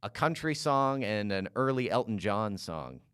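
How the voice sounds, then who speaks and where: Male interviewer, casual and hesitant; clear, resonant tone, close and dry sound. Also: on the low side, sing-song, unhurried, fairly bright tone, dry recording. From Calgary, Canada. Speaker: interviewer, podcast host.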